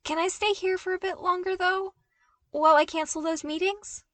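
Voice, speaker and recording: A woman plays an earnest young man, pitched up and unsure of himself. He speaks politely, with hesitant questions, as a character in a fan-fiction audiobook. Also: steady tone, moderate pace, a bit bright, no echo. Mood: hesitant, pleading.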